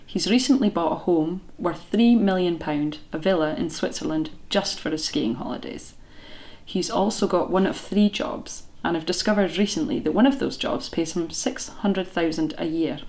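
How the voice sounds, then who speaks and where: A woman in her thirties speaking pointedly and deliberately to camera in a living room. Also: lowish voice, darkish tone.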